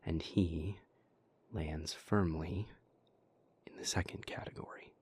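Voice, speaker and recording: A man voicing a weary, bitter young vampire in an audio roleplay, talking in a low, hushed voice up close to the mic. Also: fairly even intonation, takes it slowly, whispered. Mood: resentful. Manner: tired, resentful muttering that softens to tender.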